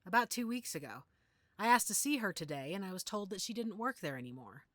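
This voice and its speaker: A woman's voice: mid-range voice, big pitch swings, fairly quick, no echo, studio quality. A woman acting a composed, inquisitive female werewolf pack leader in an audio drama. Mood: concerned.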